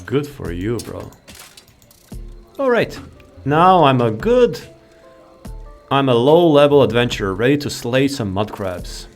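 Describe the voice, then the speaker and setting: A man's voice: mid-range voice, sing-song, a little slow, long pauses, faint music behind. A man giving casual, rambling gameplay commentary, laid-back and thinking aloud. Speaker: gaming video creator.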